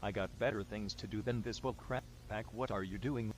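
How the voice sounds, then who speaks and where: A man's voice: lowish voice, fairly even intonation, normal speed, natural voice. A man voicing an irritated, insulting cartoon announcer character, on a very noisy recording. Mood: annoyed, defiant. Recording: very noisy, faint audio.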